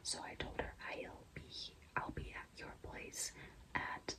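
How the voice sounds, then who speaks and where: A young woman whispering in a breathy, mostly unvoiced voice, chatting confidingly and casually to viewers as a vlogger telling a personal story. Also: slow pace. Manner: confiding, conversational, frequent "like" and "you know".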